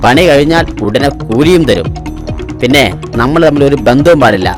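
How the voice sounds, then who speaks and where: A man's voice: mid-range voice, expressive, moderate pace, lightly acted. A man voicing a shrewd middle-aged boss in a cartoon, talking in a bossy, scheming way. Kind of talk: cartoon voice acting. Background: background music.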